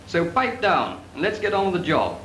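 A man instructing trainees in radio procedure, brisk and corrective, in 1940s training film dialogue. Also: high-pitched, very animated, a bit bright. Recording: echoey 1940s film soundtrack with hiss.

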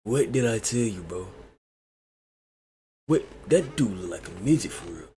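A man's voice: lowish voice, expressive, a little slow, noisy background. A young man hosting a reaction video from his bedroom on a headset mic, boastful and trash-talking in heavy slang. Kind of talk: excited reaction commentary.

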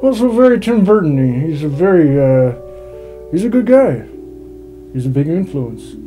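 Mellow-voiced man with wry commentary. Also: on the high side, sing-song, normal speed. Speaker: film director. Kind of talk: behind-the-scenes commentary. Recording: faint music underneath.

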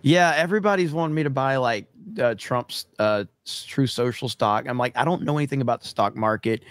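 A man in his thirties hosts a livestream from his home setup, voice worn hoarse. He rambles with restarts and laughs through his stories. The sound is close and dry, like a desk mic. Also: medium pitch, brisk, dry recording. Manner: animated storyteller, laughs often, rambling restarts. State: losing his voice. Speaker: show host. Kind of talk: casual livestream chat. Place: home streaming room with purple lights. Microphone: studio mic on arm, webcam.

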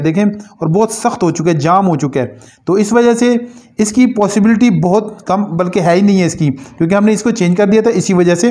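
High-voiced man explaining a repair. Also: a little mellow. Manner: explanatory, repeatedly saying "dekhen", with small slips and self-corrections. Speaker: repair tutorial host. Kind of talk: hands-on repair demonstration. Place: indoor workbench.

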